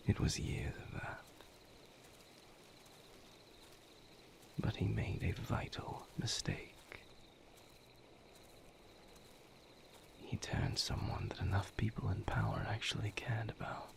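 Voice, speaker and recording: A man playing a weary vampire lover who confides a painful past in a hushed, halting, solemn voice, as an intimate audio roleplay. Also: on the low side, steady tone. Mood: somber, reflective. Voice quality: hushed, breathy whisper with gravelly creak.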